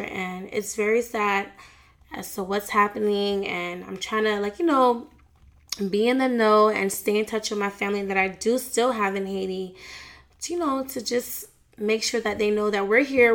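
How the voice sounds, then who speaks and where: A woman's voice: mid-range voice, fairly even intonation, moderate pace. A young woman vlogging in a casual, frank way, chatting about celebrity news and family with plenty of fillers and slang. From United States. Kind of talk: casual celebrity gossip chat.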